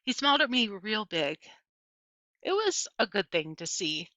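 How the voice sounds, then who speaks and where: A woman narrates a storybook in a bright, forward voice. The recording is dry and close, with a slightly muffled, lo-fi sound. She reads as a first-person storyteller. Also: medium pitch, sing-song, measured pace, no echo. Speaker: audiobook reader.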